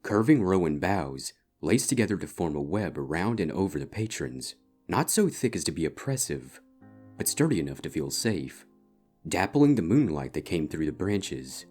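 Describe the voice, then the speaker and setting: Deep-voiced man narrating a story. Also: sing-song, normal speed. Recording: music bed behind the voice. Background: soft instrumental guitar music.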